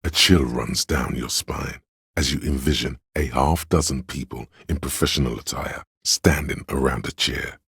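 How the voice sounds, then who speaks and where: The inner voice of a pretentious, art-loving detective for a video game. The voice is extremely deep and gravelly, recorded close and dry. He narrates with theatrical deliberation, grandiose and wry, like a haughty man of letters. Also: steady tone, fairly bright tone, dry recording, full character voice. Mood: haughty urging. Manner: pompous, literary, deliberate. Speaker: game narrator voicing a character's thoughts.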